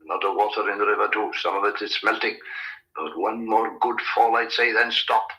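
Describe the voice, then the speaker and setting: An actor playing a wry, observant old rustic farmhand, folksy and colourful, in a vintage radio drama. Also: male voice, on the low side, steady tone, normal speed, pushed and bright, poor audio. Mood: wry, relishing.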